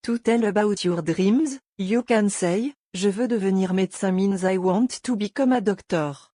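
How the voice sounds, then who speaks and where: A woman with a warm, mellow voice runs a scripted beginner lesson. She explains each point patiently and step by step. The recording is dry and close. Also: mid-range voice, moderate pace, dry recording. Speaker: language teacher.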